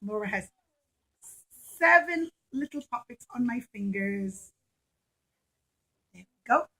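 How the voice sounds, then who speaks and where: A woman's voice: on the high side, animated, takes it slowly, pushed and bright, poor audio. A woman telling a story to young children as a puppet show, with simple phrasing and dramatic emphasis, addressing them directly.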